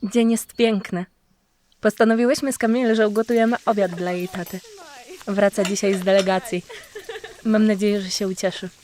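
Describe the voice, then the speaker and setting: A woman's voice: medium pitch, fairly even intonation, moderate pace, a little mellow, natural voice. A woman acting as a loving, worried mother voicing her diary in an audio drama. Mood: tender, hopeful.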